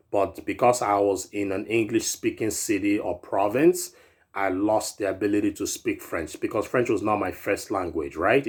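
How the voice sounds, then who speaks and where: A man's voice: fairly low pitch, slightly rough. Chatty motivational man in his thirties. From Europe. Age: 30s. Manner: chatty, repeats "right?" often. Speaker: fitness YouTuber. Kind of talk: casual motivational vlog talk.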